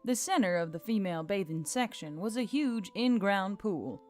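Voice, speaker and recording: Lady reading a story audiobook style, normal pitch, soft music behind. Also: expressive, moderate pace. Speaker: audiobook narrator. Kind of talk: scripted story narration.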